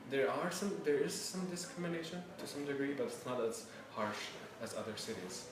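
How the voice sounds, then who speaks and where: A man's voice: medium pitch, fairly quick. A young man in his early twenties from Syria, answering interview questions hesitantly with many fillers. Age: young adult. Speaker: interviewee, student. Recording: echoey room, distant mic, background noise.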